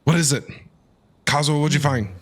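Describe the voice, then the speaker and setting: A man's voice: fairly high pitch, moderate pace, noisy background. A man streaming a video game, reacting casually and playfully in short interjections as he plays.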